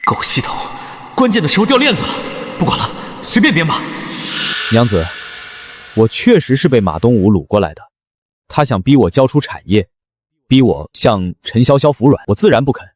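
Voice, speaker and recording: An actor playing a young husband who hides great power behind a harmless front, in a dramatized story. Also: male voice, mid-range voice, very animated, normal speed, long pauses, lightly acted. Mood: anxious, exasperated, then earnest storytelling. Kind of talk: dramatized fiction voice acting.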